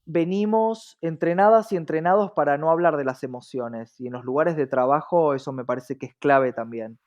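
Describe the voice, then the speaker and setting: Man, Argentine accent, highish voice, thoughtful conversational delivery, frequent tag questions, somewhat lo-fi sound. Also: moderate pace, a little mellow. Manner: often checks in with a tag question. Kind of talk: reflective conversational interview talk.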